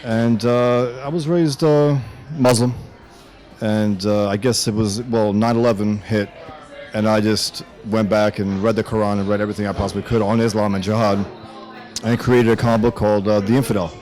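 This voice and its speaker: A man with a New York accent speaks in a lowish voice as an interview guest. He talks haltingly, filling gaps with "uh", over faint background music. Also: fairly even intonation, darkish tone. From the Bronx, New York. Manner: halting, many "uh" fillers. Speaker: guest cartoonist. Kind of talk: interview answers.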